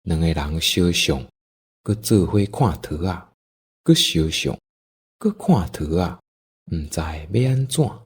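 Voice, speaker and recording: Deep, husky Taiwanese male storyteller. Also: lively intonation, slow pace, pauses often. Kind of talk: scripted story narration.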